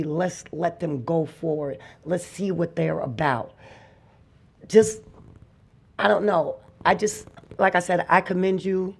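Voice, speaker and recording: A speaker with a raspy voice giving a heartfelt public comment at a podium microphone in a meeting hall. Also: mid-range voice. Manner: slow, heartfelt, builds to emphatic.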